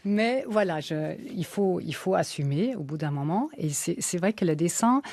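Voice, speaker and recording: A woman speaks in a radio interview, her voice pitched low. French is not her first language, and she hesitates often, restarting phrases as she searches for words. The studio sound is dry and close. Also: animated, moderate pace, darkish tone, dry recording. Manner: hesitant, many fillers and restarts. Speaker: author and illustrator guest.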